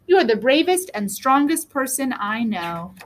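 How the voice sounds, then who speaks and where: A woman's voice: high voice, sing-song, moderate pace, a little hiss or hum. A woman reading the part of an earnest, strong-willed, bookish young girl in a storybook. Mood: loving.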